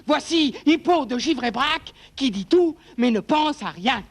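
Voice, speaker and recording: A man's voice: very high-pitched, lively intonation, measured pace, heavily acted. A man voicing a boisterous, blundering friendly monster who declaims poetically in a children's show. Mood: boastful, jolly. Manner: theatrical, declaiming verse.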